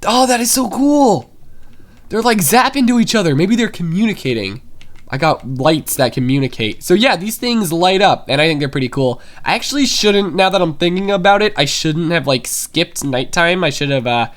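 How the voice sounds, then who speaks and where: A young man with a stuffy cold voice, chatting excitedly through a Minecraft let's play as a gaming YouTuber. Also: high-pitched, normal speed. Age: young adult. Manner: chatty and excitable, many fillers.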